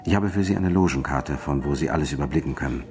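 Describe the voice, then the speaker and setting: An actor playing a secretive, commanding client who gives deliberate, clipped instructions in a noir radio play. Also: male voice, rumbling low, darkish tone. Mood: deliberate, instructing. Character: male client briefing a detective, secretive and commanding.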